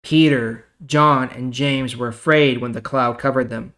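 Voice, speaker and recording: A man's voice: medium pitch, steady tone, a little slow, fairly bright tone. A man reading the Bible and explaining it in a casual, conversational way as host.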